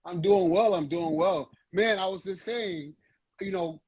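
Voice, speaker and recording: A man hosts a relaxed interview and asks questions in a chatty, friendly way. His voice sits high and has a husky, breathy grain. The recording is dry, with no room sound. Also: unhurried, no echo, dull audio. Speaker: interview host. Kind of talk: casual interview.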